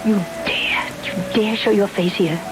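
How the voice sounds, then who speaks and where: A woman's voice: medium pitch, steady tone, unhurried, over music, close to an everyday voice. An actress playing a grieving, fiercely determined young woman in an intense, emphatic old-time radio drama style. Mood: bitter accusing. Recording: hissy old radio broadcast.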